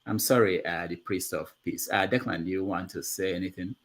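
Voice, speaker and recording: A man's voice: sits low, a little fast. A man hosting a panel discussion, explaining things point by point in an emphatic way. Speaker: show host.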